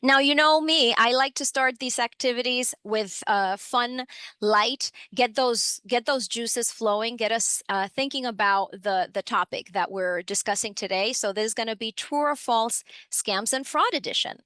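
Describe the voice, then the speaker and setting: A woman's voice: fairly high pitch, normal speed, sharp tone, no echo. A woman from the Dominican Republic presenting an educational webinar in a friendly, upbeat way, with frequent fillers, on a computer microphone. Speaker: webinar presenter, program supervisor.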